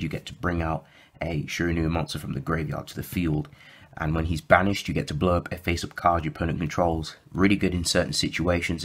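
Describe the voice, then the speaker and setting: Low-pitched male host, a bit croaky, casual deck walkthrough, filler-heavy and self-correcting. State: slightly croaky from sore throat. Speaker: YouTube deck profile host.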